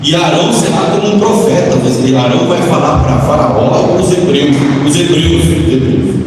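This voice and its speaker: A man's voice: fairly high pitch, normal speed, recorded from a distance, natural voice. A Brazilian man playing God as a chatty, slangy, repetitive storyteller in a comic church skit. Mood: playful, commanding. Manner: repetitive, theatrical, colloquial. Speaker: church skit performer teaching a Bible lesson. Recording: echoey hall from afar, faint noise.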